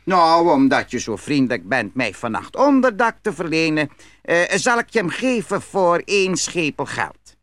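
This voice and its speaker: A sly peasant trickster from a fairy tale. He is smooth-talking and theatrical, with a lively, swooping delivery. The voice is bright and recorded close and dry. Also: a little high, moderate pace, no echo. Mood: sly, bargaining. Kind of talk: dramatised fairy tale acting.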